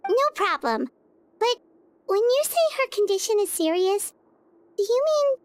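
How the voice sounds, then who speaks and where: A woman voicing a tiny childlike fairy companion in a video game, perky and eager, with a squeaky, exclamatory delivery. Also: very animated, unhurried, full character voice. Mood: eager, concerned.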